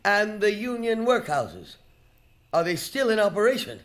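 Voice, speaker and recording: A woman's voice: medium pitch, sing-song, measured pace. A woman playing a curt, scornful, miserly old male boss in a live radio drama. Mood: cold, scornful.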